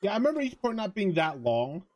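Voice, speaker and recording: A man chatting casually as he streams a visual novel, speaking haltingly and trailing off mid-thought. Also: high voice, big pitch swings, darkish tone.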